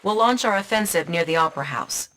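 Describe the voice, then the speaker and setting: An actress playing a composed military intelligence officer giving scripted briefings in a video game. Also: female voice, fairly low pitch, expressive, fast, a bit bright. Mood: composed, businesslike. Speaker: mission briefer. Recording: clear battle and vehicle noise behind.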